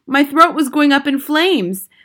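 A woman's voice: sits high, steady tone, normal speed, a bit bright, pitched above the performer's own voice. A woman voicing a frightened child who tells of a terrible ordeal in a dramatic first-person storybook reading. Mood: agonised, frantic. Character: terrified young child hero telling of their ordeal.

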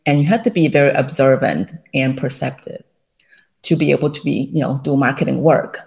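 A woman's voice: rumbling low, brisk, vocal fry, a little mellow. A middle-aged woman with a Taiwanese accent, telling her story as a guest in an interview, with many fillers and light laughs. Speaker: guest, company founder. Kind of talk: casual interview.

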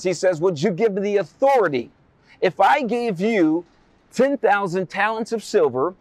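A man's voice: sits high, darkish tone, no room sound. A man preaching a sermon, retelling a Bible story dramatically with rhetorical questions and emphatic repetition.

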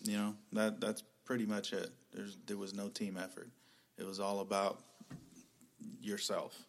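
A man in his thirties, an army veteran guest, answering in a hesitant, soft-spoken but direct way with many pauses. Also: fairly low pitch, completely flat delivery. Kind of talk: interview answers.

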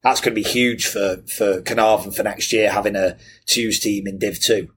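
A man hosting a casual sports podcast, telling stories in a lively, animated way. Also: lowish voice, moderate pace, breathy edge. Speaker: podcast host.

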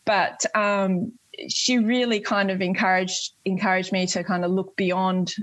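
A woman's voice: mid-range voice, flat delivery, unhurried, fairly bright tone, no echo, rough recording. An Australian woman in her late forties talking conversationally as an interview guest, in a chatty, rambling way. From northwest Tasmania, Australia. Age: late 40s. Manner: chatty, rambling, frequent "you know". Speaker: interview guest, Air Force member. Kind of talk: conversational interview, recounting career.

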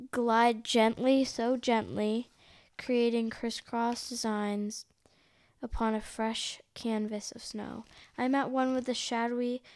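A woman with a fairly high voice reads a picture book aloud as host. She takes it very slowly and haltingly, going back over words as she goes. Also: a little mellow. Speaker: host of a read-along.

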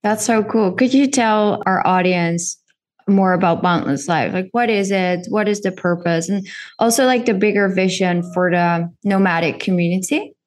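A woman's voice: mid-range voice, normal speed. A woman with a Dutch accent hosting an interview, chatty and friendly. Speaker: podcast host. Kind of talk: casual interview conversation.

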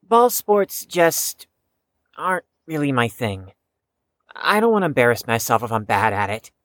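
Woman as low-voiced, formal young student Grayson. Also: sing-song, measured pace, no echo, full character voice. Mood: wry, reluctant. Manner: precise, formal, dry. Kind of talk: audio drama acting.

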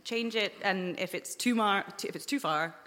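A woman's voice: medium pitch, moderate pace, fairly bright tone. A woman chatting casually on a live Q&A panel, recorded in an echoey hall.

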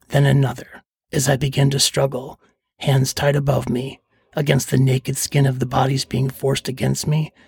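A middle-aged man with a husky, gravelly voice, narrating scripted first-person stories as a podcast host. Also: medium pitch, dry recording, studio quality. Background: faint music.

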